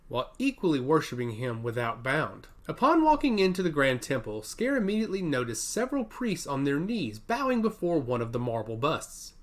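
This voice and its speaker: A man narrating a scripted fantasy story as an audiobook. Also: on the high side.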